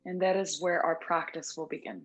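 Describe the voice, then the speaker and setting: Low-pitched woman guiding meditation over music. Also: steady tone, poor audio. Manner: gentle, soothing, unhurried with long pauses. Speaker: yoga nidra meditation instructor. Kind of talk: guided meditation. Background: background music.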